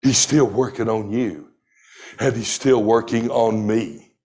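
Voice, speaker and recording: A man in his sixties preaching a sermon, reading scripture aloud with deliberate emphasis. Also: fairly low pitch, darkish tone. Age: 60s. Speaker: pastor.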